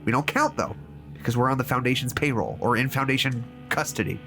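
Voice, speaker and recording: A man's voice: mid-range voice, sharp tone, faint music behind. An actor playing a troubled, disillusioned male therapist in an audio drama, stammering and hesitating as he reasons aloud. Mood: bitter. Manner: halting, hesitant, stammering repeats.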